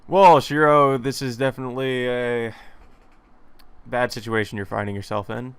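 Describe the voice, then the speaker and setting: A man's voice: mid-range voice, fairly even intonation, normal speed, clear, resonant tone, no room sound. A man reading game text aloud as a let's player, informally, with casual asides and some stumbles. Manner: informal, stumbles and rereads lines. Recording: game music behind the voice.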